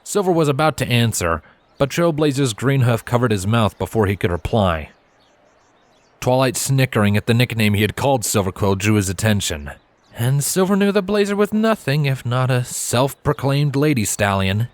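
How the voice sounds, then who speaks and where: A man reading a story aloud as narrator, in an expressive scripted storytelling style. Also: medium pitch, moderate pace, no room sound.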